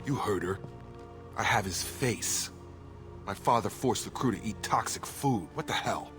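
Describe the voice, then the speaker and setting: A serious, troubled male soldier, played by an actor in a video game. His voice is on the low side and determined to get answers, with music clearly underneath. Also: a little slow, lightly acted. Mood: angry, disbelieving.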